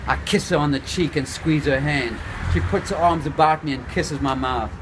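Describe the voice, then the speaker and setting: A man reading his own novel aloud in the first person, unhurried, lingering on phrases and letting the pace drift between slow and quick. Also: fairly high pitch. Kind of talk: first-person literary narration. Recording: steady background noise with passing traffic.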